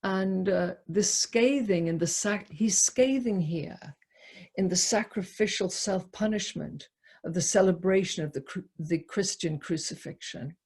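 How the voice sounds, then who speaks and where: Female discussion leader, husky and unhurried, thinking aloud haltingly as she explains poetry. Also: lowish voice, darkish tone. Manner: halting, reflective, repeats words as she explains. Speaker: poetry lecturer leading a discussion. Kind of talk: poetry talk and open group discussion.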